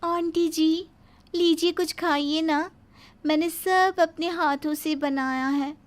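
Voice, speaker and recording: A woman's voice: very high voice, steady tone, slow. A woman voicing a young woman in a family moral story. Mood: polite. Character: women of a family story: neighbour, eager young bride. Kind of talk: animated moral storybook acting.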